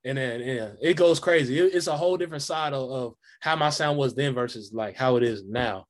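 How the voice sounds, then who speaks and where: A man's voice: mid-range voice, fairly quick. A young man, a guest musician, chatting casually on a video call, rambling with lots of fillers. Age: young adult. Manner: loose, rambling, filler-heavy talk. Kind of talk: casual interview chat.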